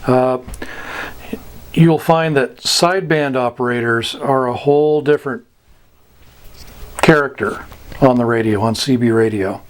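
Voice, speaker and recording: An American man giving an informal instructional talk, plain-spoken and pausing between short phrases. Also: mid-range voice. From United States. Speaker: amateur radio instructor. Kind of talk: informal slide lesson explaining radio slang.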